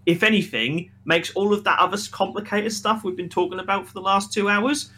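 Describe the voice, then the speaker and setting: A man co-hosting a casual podcast review, talking in an animated, opinionated way. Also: high voice, a little fast. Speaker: podcast co-host. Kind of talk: casual film review discussion.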